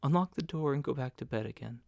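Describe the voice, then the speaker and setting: A man voicing a timid young woman typist in a soft, hushed, deferential way for an audiobook. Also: medium pitch, fast, creaky, dry recording, close to an everyday voice. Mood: hushed, gentle.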